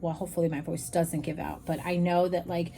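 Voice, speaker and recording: A woman with a slightly raspy voice giving a frank, chatty tarot reading straight to her viewers. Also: lowish voice, level tone, a little fast, dark tone, faint music behind. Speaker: tarot reader. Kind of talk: casual tarot reading to camera.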